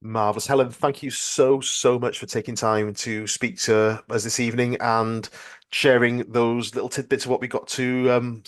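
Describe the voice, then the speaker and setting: A man's voice: medium pitch, little pitch movement, moderate pace. A man interviewing a guest on a podcast, polite and effusive, feeling his way through questions. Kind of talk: casual interview chat.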